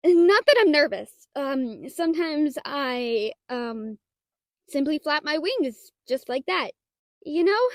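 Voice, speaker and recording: A woman's voice: sits high, no echo, clean studio sound, exaggerated voice. A woman playing a shy, nerdy half-dragon librarian in a roleplay audio drama, talking in a hesitant, flustered, stammering way. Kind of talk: roleplay audio drama, one-sided dialogue.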